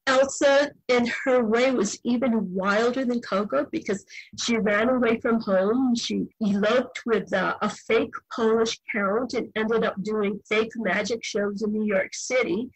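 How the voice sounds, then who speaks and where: A woman in her sixties, a novelist, talking as a podcast guest in a lively, storytelling way with emphatic asides. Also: on the high side, measured pace, slightly rough, poor audio. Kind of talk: podcast interview, relaxed storytelling.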